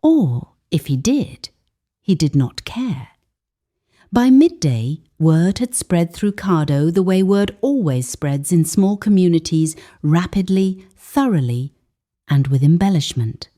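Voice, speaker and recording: A woman narrating a scripted moral folktale in a solemn, deliberate way with dramatic pauses. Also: lowish voice, animated, dark tone. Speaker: storyteller.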